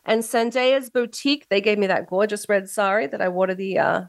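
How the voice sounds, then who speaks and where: Woman radio host, hoarse voice, playful, teasing, dry close sound. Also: mid-range voice, fairly even intonation, a little fast, dry recording. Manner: playful, teasing, laughs often. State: losing her voice, hoarse. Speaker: talk show host. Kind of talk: casual radio chat.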